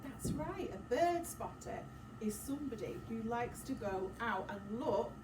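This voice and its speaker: A woman's voice: fairly high pitch, lively intonation, a little slow. Echoey, distant woman teaching young children. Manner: questioning, explaining simply to children. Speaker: primary school teacher. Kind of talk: interactive story-time lesson for young children. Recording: noisy echoey room, distant mic.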